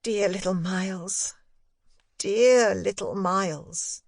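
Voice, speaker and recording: A woman's voice: mid-range voice, takes it slowly, vocal fry, no room sound, clean studio sound. A woman playing an anxious, tender governess who questions a child earnestly in a literary audiobook. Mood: tender, pleading. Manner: earnest, probing. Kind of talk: audiobook dialogue, literary ghost story.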